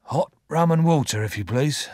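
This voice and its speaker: A man playing a rough, weary ex-convict of about sixty in an audiobook, speaking slowly and quietly in a husky, fond way. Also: fairly high pitch, animated, dry recording, studio quality, lightly acted. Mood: slow, questioning. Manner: slow, quiet, deliberate.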